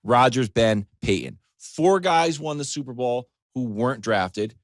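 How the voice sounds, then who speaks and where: A man's voice: mid-range voice, expressive, measured pace, no room sound, clean studio sound. A man hosting a sports talk show, delivering a comedic, conversational monologue with emphatic lists, joking asides and acted-out questions.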